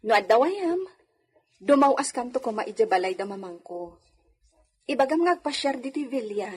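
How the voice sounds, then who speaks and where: A woman's voice: on the high side, sing-song, normal speed, darkish tone, husky. A woman playing a well-born señorita giving instructions, with an Ilocano accent, in a radio drama. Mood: coaxing.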